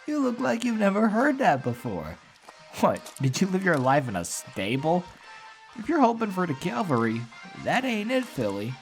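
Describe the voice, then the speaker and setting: A man voicing crude, bullying male pony slavers with a rough, sneering, foul-mouthed delivery over music. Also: high voice, wildly swooping pitch, normal speed, voice pushed up, full character voice, low-quality recording. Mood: mocking.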